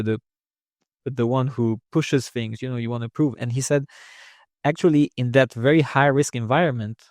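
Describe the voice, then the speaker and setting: A man's voice: mid-range voice, a little mellow, no echo, studio quality. A young man speaking English as a second language with an accent, talking casually as a guest oncologist, often restarting and repeating words. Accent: non-native English. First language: not English. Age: young adult. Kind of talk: casual interview conversation.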